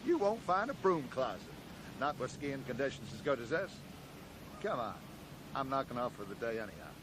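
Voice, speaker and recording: A man's voice: mid-range voice, very animated, normal speed, lightly acted. Folksy, hesitant American sheriff, played by a man, noisy recording. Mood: genial, hospitable. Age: middle-aged. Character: folksy, kindly middle-aged American small-town sheriff. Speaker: actor. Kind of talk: 1980s radio drama acting. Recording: noisy 1980s radio drama recording.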